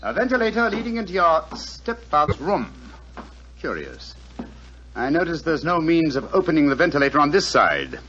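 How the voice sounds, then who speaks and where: An incisive, lively-voiced British detective. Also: male voice, a little high. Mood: curious. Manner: clipped, incisive, deliberate delivery. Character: keen, commanding male detective, grave and analytical. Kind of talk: 1940s radio acting. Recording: muffled 1940s radio recording.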